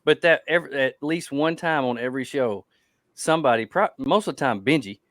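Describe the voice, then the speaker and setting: A man chatting casually as a podcast co-host, in a mid-pitched voice. He repeats himself for emphasis, and the sound is dry with little room in it. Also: expressive, normal speed, a bit bright, no echo. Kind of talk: casual chat on a live podcast.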